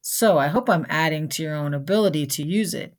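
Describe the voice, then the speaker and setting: Low-voiced woman narrating a scripted lesson. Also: a little fast. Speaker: host of a vocabulary lesson.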